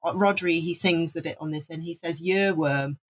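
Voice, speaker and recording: A woman's voice: fairly low pitch, moderate pace, breathy edge. A woman from Wales co-hosting a casual music podcast, talking enthusiastically and rambling with restarts. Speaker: podcast co-host.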